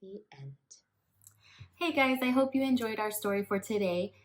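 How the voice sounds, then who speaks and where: A woman teacher reading a children's storybook aloud with expressive narration. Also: mid-range voice, normal speed.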